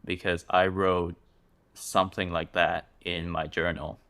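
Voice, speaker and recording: Deep-voiced male podcast host. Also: flat delivery, pushed and bright, clean tone. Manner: thoughtful, measured, with restarts. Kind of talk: interview conversation.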